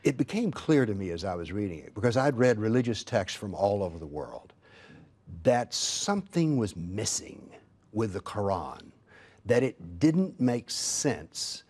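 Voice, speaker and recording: A man speaking as a talk show guest, recounting his personal journey in a measured, reflective way. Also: lowish voice, very animated, a little mellow, slightly rough. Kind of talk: interview, personal testimony.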